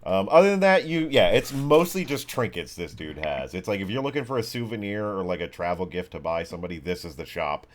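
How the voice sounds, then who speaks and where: A man with a fairly low voice works as game master. He improvises his narration casually, halting and restarting sentences as he describes each scene. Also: lively intonation. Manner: halting, loosely conversational, many mid-sentence restarts.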